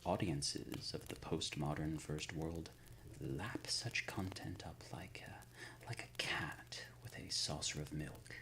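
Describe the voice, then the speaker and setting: A man playing a courteous, shrewd, ancient supernatural lord in an ASMR roleplay, speaking softly and formally, often near a whisper. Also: sits low, vocal fry, heavily acted, low-quality recording. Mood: wry, approving. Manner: formal, measured, erudite wording with deliberate pauses. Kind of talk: ASMR roleplay, intimate scripted monologue.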